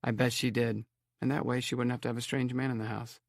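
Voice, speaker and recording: A man's voice: mid-range voice, flat delivery, fast talker, creaky, dry recording, clean studio sound. A man reading scripted first-person story narration as an audiobook narrator.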